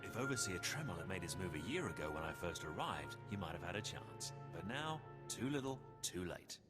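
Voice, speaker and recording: An actor playing an arrogant, coldly confident male rival in a video game, with a haughty, measured, threatening delivery. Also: low-pitched, very animated. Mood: cold menace. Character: arrogant male Sith acolyte rival, menacing, coldly sure of himself. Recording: loud music behind the voice.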